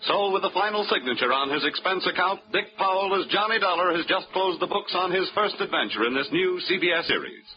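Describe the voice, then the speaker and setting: High-voiced vintage radio announcer man. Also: animated, brisk, hardly any pauses. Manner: formal, crisp announcer delivery. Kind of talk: scripted announcing. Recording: lo-fi vintage radio recording with loud music.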